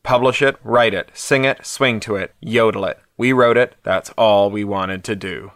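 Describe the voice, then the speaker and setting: A man's voice: fairly low pitch, normal speed, a bit bright. A man giving a scripted spoken introduction as an announcer. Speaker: announcer introducing a story and its licence.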